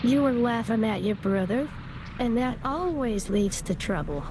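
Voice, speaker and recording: A woman's voice: fairly high pitch, animated, measured pace, noisy background. A woman playing a tough, sarcastic, threatening wife in 1940s-style radio comedy.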